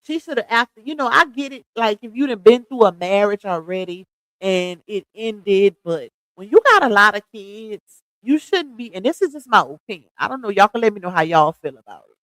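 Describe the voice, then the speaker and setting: A woman's voice: mid-range voice, expressive, fairly quick, a bit bright, dry recording, rough recording. A woman in her thirties chatting casually on a livestream, gossiping, laughing often and talking straight to her viewers. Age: 30s.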